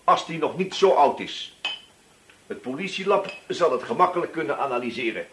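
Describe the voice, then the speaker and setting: A man's voice: on the high side, expressive, moderate pace, noisy background, lightly acted. A man playing a wily, relentless police inspector in a radio drama, feigning casual chat and probing until he accuses. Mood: cool, insistent. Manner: probing, hesitant feints turning accusatory.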